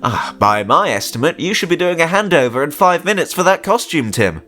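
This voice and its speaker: Man acting an over-friendly, high-pitched park manager. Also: big pitch swings, brisk, bright, forceful tone, faint music behind. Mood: jovial, breezy. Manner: chuckling, folksy, patronizing. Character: beaming, over-friendly male theme park manager hiding menace.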